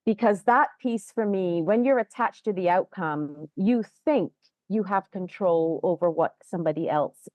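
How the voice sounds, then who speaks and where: Affirming woman podcast host, low voice. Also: measured pace, dry recording, lo-fi sound. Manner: warm, affirming, reflective. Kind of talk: podcast conversation.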